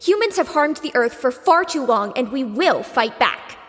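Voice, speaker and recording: A woman's voice: high-pitched, animated, normal speed. A woman playing a smug female fire-cult villain in a comedy audio drama, haughty and condescending. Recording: long hall reverb.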